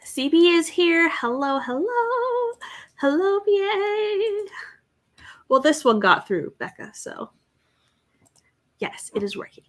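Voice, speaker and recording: A woman's voice: extremely high pitch, very animated, takes it slowly, long pauses. A young woman hosting a casual livestream, reading out viewer chat and greeting people by name. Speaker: livestream host.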